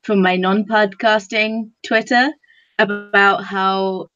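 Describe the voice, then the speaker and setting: A woman's voice: medium pitch, little pitch movement, slow, bright, forceful tone, no room sound. A woman speaking hesitantly and appreciatively as a panelist in a casual online discussion. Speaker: podcast panelist. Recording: slightly dull, lo-fi audio.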